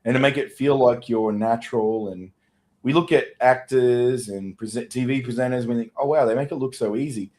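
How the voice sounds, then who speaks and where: A man co-hosting a business podcast, talking in a relaxed, conversational way. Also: mid-range voice, moderate pace. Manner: relaxed, conversational, chuckles easily. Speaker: podcast co-host. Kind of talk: casual chat.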